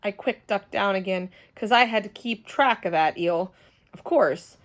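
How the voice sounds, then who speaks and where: A woman reading a children's book aloud as a storyteller, expressive and dramatic, telling it as the child narrator. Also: medium pitch, unhurried.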